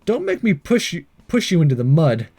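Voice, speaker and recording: A man's voice: a little high, huge pitch swings, brisk, gravelly, a little mellow, breathy edge. A man reading a visual novel aloud as a let's play host, stumbling over lines and re-reading them, with commentary. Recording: music behind the voice. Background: game music.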